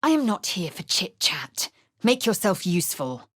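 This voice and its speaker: A woman playing a fierce, haughty young alien warrior in a video game, with a clipped, stern and disdainful delivery and a husky voice. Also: fairly low pitch, big pitch swings, normal speed, a bit bright, dry recording, clean studio sound. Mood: stern, measured. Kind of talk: video game character lines and narration.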